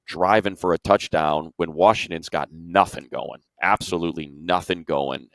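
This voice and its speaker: A man's voice: sits low, fairly bright tone, no echo. A man casually talking sports as an analyst, repeating phrases for emphasis.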